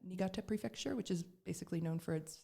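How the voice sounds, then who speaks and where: A woman's voice: on the low side, quick pace, vocal fry, mellow, slightly rough. A middle-aged woman from Canada, a podcast guest telling her story in casual chat, with lots of fillers and restarts.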